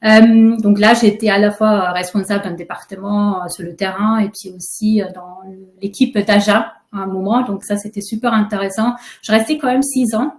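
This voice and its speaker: A woman from Austria with an Austrian German accent, a webinar guest who tells her career story in a relaxed, chatty way full of fillers. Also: medium pitch, moderate pace, hardly any pauses. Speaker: alumna guest. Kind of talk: webinar interview. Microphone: video call. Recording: echoey room on a video call.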